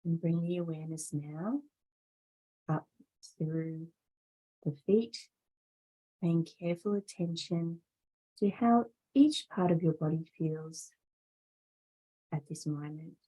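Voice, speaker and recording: A woman yoga teacher guides a meditation in a low, softly mellow voice. Her delivery is very unhurried and soothing, with deliberate phrasing and long pauses. She sounds calm and instructive throughout. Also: slightly rough, low-quality recording.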